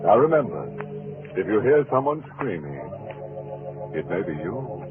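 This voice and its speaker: Creepy old-time radio horror host, sardonic, slow and swooping, tinny with music behind. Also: male voice, fairly low pitch, big pitch swings. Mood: ominous. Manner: theatrical, sardonic, mock-friendly. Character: ghoulish, gleefully macabre male horror host. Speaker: radio show host and narrator. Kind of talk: 1940s radio horror narration. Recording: phone-like vintage radio recording, music behind.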